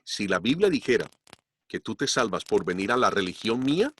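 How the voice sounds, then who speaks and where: A man preaching a sermon as pastor, slowing down and pushing up his voice to stress key points. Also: on the low side, very animated, fairly bright tone, a little hiss or hum. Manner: emphatic, slows down to stress points. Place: church.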